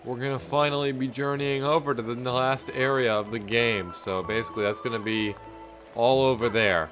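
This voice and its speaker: A man's voice: mid-range voice, moderate pace. A congested man with a mild cold giving rambling, casual commentary while he plays a video game. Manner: rambling, relaxed, lots of fillers. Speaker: gameplay commentator. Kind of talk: casual let's-play commentary. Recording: loud game music behind voice. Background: game music.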